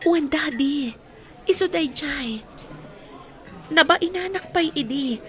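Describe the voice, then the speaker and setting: A woman's voice: high voice, lively intonation, slow, a faint crowd. A woman acting in an Ilocano radio drama as a wounded young daughter. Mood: hurt, indignant. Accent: Filipino, Ilocano.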